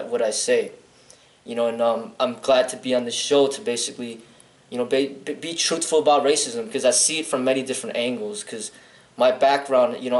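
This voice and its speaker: A man's voice: medium pitch, echoey room, poor audio. A man from Chicago, a martial arts instructor, answering interview questions bluntly and earnestly, rambling with restarts and "um". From Chicago, United States.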